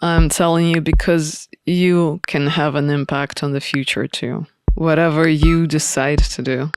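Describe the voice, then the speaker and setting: A woman's voice: low voice, steady tone, a little slow, faint music behind, voice pushed down. A woman voicing a guarded young man, an earnest rebel schemer in a visual novel read-aloud. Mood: serious, measured.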